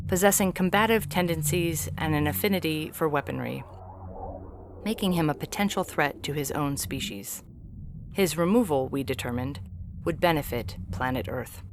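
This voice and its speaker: A woman's voice: low voice, noisy background. A woman playing a formal alien agent in audio fiction, reporting on humans in stiff, clinical, precise phrasing with a faintly weary, homesick temper. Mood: formal, official. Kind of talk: scripted audio fiction reading.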